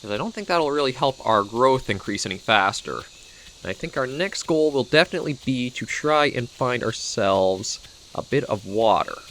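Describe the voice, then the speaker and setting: A man's voice: mid-range voice, expressive, moderate pace, fairly bright tone, slight background noise. A man with a Canadian accent who is coming down with a cold, giving casual, rambling gameplay commentary as he thinks aloud. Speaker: gaming video host.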